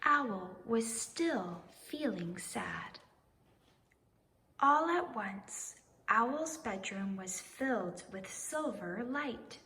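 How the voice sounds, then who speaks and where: Woman, children's read-aloud, highly varied intonation, slightly high pitch, unhurried, reverberant recording. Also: lively intonation, bright, forceful tone. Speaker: storybook reader.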